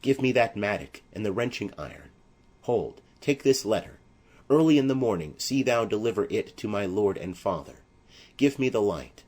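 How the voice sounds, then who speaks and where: A man playing a desperate, savage young exiled lover in a tragedy audiobook, declaiming gravely and with menace. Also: fairly low pitch, lively intonation, normal speed, darkish tone. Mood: urgent, threatening. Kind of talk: classical tragedy audiobook acting.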